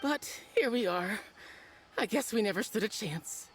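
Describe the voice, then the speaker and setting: A man's voice: high-pitched, expressive, unhurried, fairly bright tone, faint music behind. A man voicing a nervous small-time smuggler in a game, defensive and pleading. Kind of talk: game voice acting.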